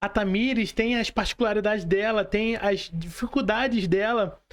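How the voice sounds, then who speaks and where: Brazilian female podcast host, medium pitch, casual motivational chat, repeats herself for emphasis. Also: measured pace. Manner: repetitive phrasing, rhetorical questions to listeners.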